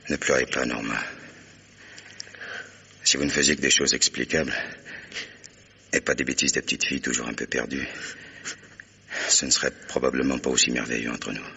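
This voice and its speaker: Protective husband, rock-bottom deep voice, gravelly, earnest, commanding, radio mystery play. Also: male voice, steady tone, moderate pace, long pauses, bright, forceful tone, full character voice. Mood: tender, grave. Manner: earnest, commanding, formal address. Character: stern, devoted new husband, protective and determined.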